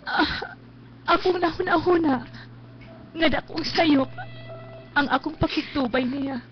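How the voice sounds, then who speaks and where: An actress playing a remorseful woman seeking her family's forgiveness in a radio drama, halting and pleading, with a Visayan Filipino accent. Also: high voice. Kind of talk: Philippine radio drama acting. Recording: dull lo-fi live stream, faint music.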